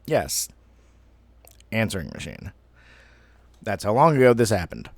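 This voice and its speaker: Thirties dude telling a story real slow, kinda self-deprecating, dry close recording. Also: male voice, on the low side, huge pitch swings, creaky, no room sound. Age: 30s. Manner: rambling, self-deprecating, mildly profane. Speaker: online storyteller. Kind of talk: casual personal storytelling.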